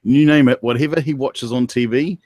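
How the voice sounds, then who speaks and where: A man's voice: mid-range voice, fairly even intonation, brisk, a little mellow, no echo. A man chatting casually on an online panel, informal and repeating himself for emphasis. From New Zealand. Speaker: participant in an online panel chat. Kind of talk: casual chat.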